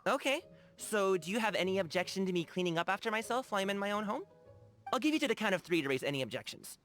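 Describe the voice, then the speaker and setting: An upbeat young man from a video game, voiced by a woman, with very lively, swinging intonation. He talks casually and enthusiastically, like an easygoing buddy. Faint music plays underneath. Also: mid-range voice, fast talker, lightly acted. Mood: earnest, friendly. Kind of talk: video game character dialogue.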